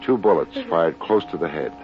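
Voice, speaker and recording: An actor playing a wisecracking, unsentimental private investigator in an old radio detective drama. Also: male voice, low-pitched, lively intonation, moderate pace. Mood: somber narrating. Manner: wry, hard-boiled, slangy. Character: wisecracking, unsentimental male private investigator narrating his case. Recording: dull, noisy old radio recording.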